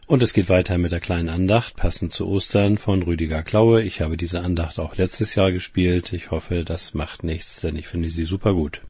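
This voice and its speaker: A man's voice: low-pitched, steady tone, moderate pace, hardly any pauses, darkish tone, breathy edge, no room sound. A man with a cold, hosting a radio show in a chatty way. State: has a cold. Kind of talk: casual presenting.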